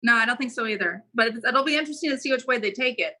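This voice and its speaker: A woman's voice: a little high, rapid-fire, sharp tone. A woman co-hosting a podcast, inquisitive and conversational as she interviews. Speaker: podcast co-host.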